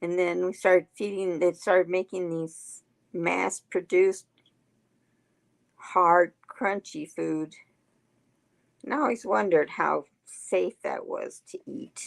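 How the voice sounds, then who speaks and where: A woman, hosting a casual livestream and chatting with viewers in a folksy way, her voice getting hoarse. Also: fairly low pitch, slow pace. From Texas. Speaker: livestream host. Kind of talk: casual chat reading viewer comments.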